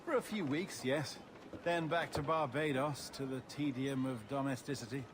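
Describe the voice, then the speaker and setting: An actor playing a polite, hesitant gentleman merchant who is a husband and father, in a game cutscene. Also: medium pitch, normal speed, noisy background, lightly acted. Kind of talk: video game cutscene acting.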